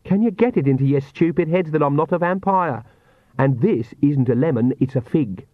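A man's voice: a little high, expressive, moderate pace, dry recording, phone-quality sound, voice pushed up, lightly acted. A man voicing a blunt, no-nonsense young woman who grumbles in exasperation. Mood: exasperated.

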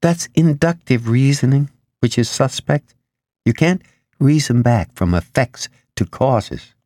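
A man voicing a flighty, pedantic, argumentative woman in an audiobook, brisk and easily distracted. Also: medium pitch, animated, mellow, dry recording, studio quality, voice pushed up. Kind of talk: audiobook character dialogue.

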